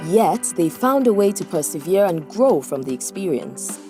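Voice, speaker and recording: A woman reading scripted narration for a news feature, her pitch moving a lot as she speaks. Her delivery is polished and broadcast-ready. Loud music plays beneath her voice. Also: very animated, normal speed.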